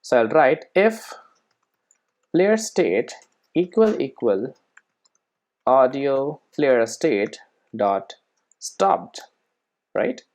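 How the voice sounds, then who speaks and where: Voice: medium pitch, big pitch swings, drawn-out words, long pauses, a little mellow. A programming instructor giving a casual step-by-step screen-recorded tutorial, often checking in with "right?" and "okay".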